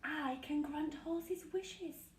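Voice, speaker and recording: A woman voicing a gracious magical female unicorn from a children's storybook, in a measured delivery. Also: sits high, roomy sound, distant mic. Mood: gentle, gracious.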